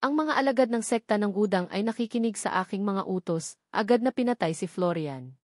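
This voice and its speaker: A cold martial-arts sect leader in an audiobook, commanding followers, read by a woman. The pitch is mid-range and the sound is very dry. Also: lively intonation, fairly quick, a little mellow, dry recording, close to an everyday voice.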